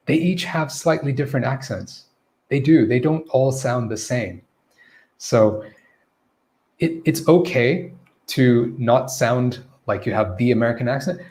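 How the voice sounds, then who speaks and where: Male English coach, middle pitch, casual live-stream delivery, chatty, self-correcting. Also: darkish tone. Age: adult, former teacher.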